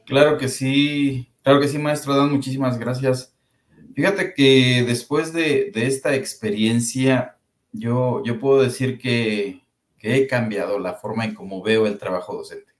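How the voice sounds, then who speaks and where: A man's voice: mid-range voice, fairly even intonation, a little slow. Thoughtful Mexican man in an echoey room. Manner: thoughtful, builds points by repetition. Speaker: school supervisor. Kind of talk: reflective panel conversation.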